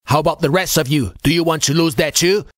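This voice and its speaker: A man voicing a gruff old navy chief petty officer in an audiobook, harsh but protective, barking commands and scolding. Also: a little high, fast, no echo, clean studio sound, pitched above the performer's own voice, natural voice. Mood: gruff urging. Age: older. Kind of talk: audiobook character dialogue.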